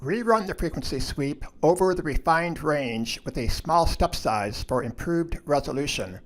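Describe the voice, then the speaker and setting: Male instructional narrator, slightly high voice, measured procedural delivery for a technical tutorial. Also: expressive.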